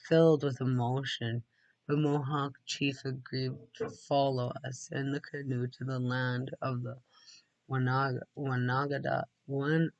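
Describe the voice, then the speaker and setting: A man's voice: mid-range voice, level tone, unhurried, creaky, dry recording, lo-fi sound. A man reading a storybook aloud, sometimes dropping into casual asides. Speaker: read-aloud narrator.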